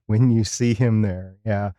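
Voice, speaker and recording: A man's voice: fairly low pitch, steady tone, slow, dark tone, no echo, studio quality. A middle-aged man co-hosting a podcast, talking casually and unscripted in a rambling, conversational way. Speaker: podcast co-host. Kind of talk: casual unscripted live chat.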